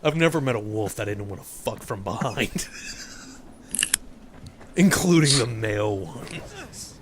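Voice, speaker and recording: Animated, joking young man over noise. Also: mid-range voice, unhurried. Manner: crude, irreverent humour. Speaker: co-commentator on gaming video. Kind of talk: casual joking banter.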